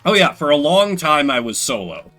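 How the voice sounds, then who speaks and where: A man's voice: fairly high pitch, sing-song, normal speed, bright, forceful tone, soft background music. A man doing solo video game commentary, reading game text aloud dramatically and adding sardonic, rambling asides. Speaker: game streamer.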